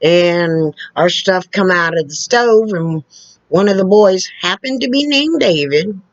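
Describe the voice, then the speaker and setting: A woman with a loud, carrying voice tells personal stories slowly, her pitch rising and falling a lot as she goes. She pauses, restarts and adds asides. The sound is dry and a bit dull, like a lo-fi recording. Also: lively intonation, dry recording. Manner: slow, halting, with restarts and asides. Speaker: storyteller. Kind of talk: casual personal storytelling.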